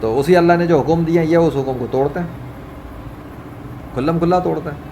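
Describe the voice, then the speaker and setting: A man's voice: fairly high pitch, quick pace. A man delivering an informal religious monologue in an indignant, scolding way, repeating phrases for emphasis. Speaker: religious vlogger. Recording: clearly noisy, ambient background hum. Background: ambient noise, running fan.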